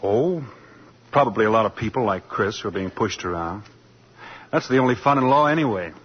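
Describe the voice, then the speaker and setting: A man's voice: lowish voice, expressive, brisk, husky. An American man playing an earnest, idealistic defense lawyer in a 1940s radio drama, speaking persuasively. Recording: dull 1940s radio broadcast.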